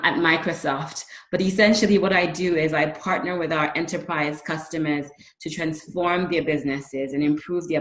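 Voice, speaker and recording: A woman's voice: deep voice, roomy sound. A woman, giving considered remarks as a webinar panelist. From Ghana. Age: 30s.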